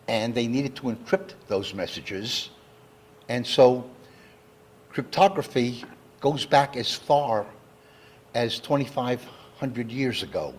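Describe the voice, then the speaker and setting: A man giving an educational public lecture, explaining terms in a deliberate, step-by-step way. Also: medium pitch, fairly bright tone, slightly rough. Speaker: lecturer, engineer. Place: talk given live to an audience.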